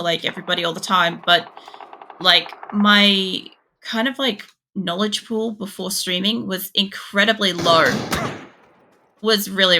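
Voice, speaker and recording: Gravelly bright-voiced Australian woman. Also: lowish voice, a little hiss or hum. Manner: rambling, frequent fillers and swearing. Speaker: game streamer. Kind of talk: casual gaming commentary chatting with viewers.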